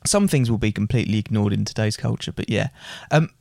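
A man's voice: on the low side, fast talker, creaky, darkish tone, no echo, studio quality. A young man co-hosting a podcast, earnestly explaining his views in casual chat. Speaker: podcast co-host.

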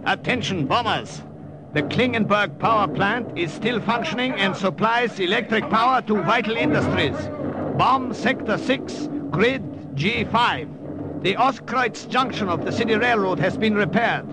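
An old radio recording thick with noise carries a wounded professor. His voice sits high for a man. He speaks with formal, resolute urgency, like someone who will sacrifice himself for others. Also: expressive, measured pace. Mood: commanding. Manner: urgent, formal, clipped commands. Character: wounded, selfless older professor, resolute wartime spotter. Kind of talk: 1940s-50s radio drama acting.

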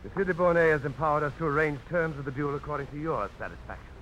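A man acting a formal gentleman envoy in an old radio drama. He speaks quickly and courteously in a slightly high voice, over faint hiss. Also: dull audio, lightly acted. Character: formal, brisk gentleman acting as duelling second. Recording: hissy old radio broadcast.

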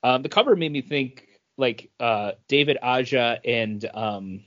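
A man's voice: mid-range voice, expressive, measured pace, fairly bright tone, dull audio. A man co-hosting a podcast in a casual chat about comic books. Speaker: podcast co-host.

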